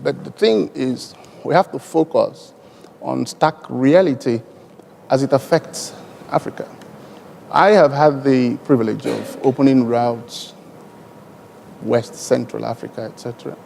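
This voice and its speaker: A man with a Nigerian accent and Igbo as his native language, speaking deliberately and emphatically in elaborate formal phrases on a conference panel. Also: medium pitch, vocal fry, darkish tone, slight background noise. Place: large conference hall. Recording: long hall reverb from conference PA.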